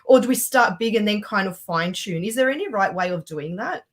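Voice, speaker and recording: A woman's voice: mid-range voice, expressive, fairly quick. A woman co-hosting an interview, asking casual questions in a tentative, rephrasing way. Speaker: podcast co-host.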